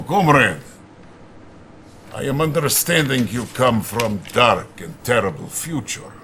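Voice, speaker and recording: An actor playing a pompous Soviet leader with a Russian accent, deliberately giving orders in a game. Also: male voice, mid-range voice, slight background noise.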